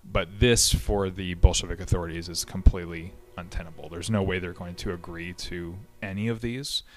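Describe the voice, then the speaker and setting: A man's voice: sits low, fairly even intonation, normal speed. A man hosting a history podcast, explaining events in a casual way, with frequent fillers and restarts. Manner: conversational, many fillers and restarts.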